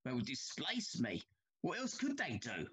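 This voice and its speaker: An actor playing an anxious, haunted older railway signalman in a Victorian ghost story, troubled and halting, with formal old-fashioned speech. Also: mid-range voice, wildly swooping pitch, fairly bright tone. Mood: despairing, pleading.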